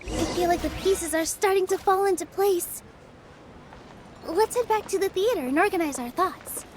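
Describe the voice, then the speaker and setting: A woman playing a gentle, earnest young theater dancer in a video game. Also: extremely high pitch, moderate pace, a little hiss or hum. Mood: grateful, hopeful.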